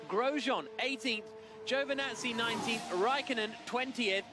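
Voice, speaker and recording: A man giving live motor racing commentary in an excited running style with hesitant pauses, racing engines behind him. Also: extremely high pitch. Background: racing car engines.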